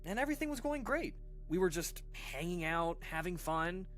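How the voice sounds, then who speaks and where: A voice actor playing a rattled person recounting a frightening childhood memory in a halting, conversational way, with stammers and dramatic pauses. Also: medium pitch, big pitch swings, a bit bright. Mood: casual recollection. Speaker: storyteller. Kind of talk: audio drama monologue, conversational horror storytelling. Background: music.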